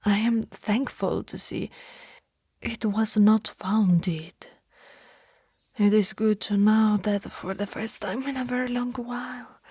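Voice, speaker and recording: A woman playing a centuries-old vampire in an ASMR roleplay, formal and tender, speaking softly and breathily, often in a whisper. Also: medium pitch, no room sound, clean studio sound. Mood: grateful, tender. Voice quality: soft, breathy. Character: centuries-old female vampire, lovestruck, remorseful, hungry, formal. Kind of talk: ASMR roleplay, slow intimate monologue.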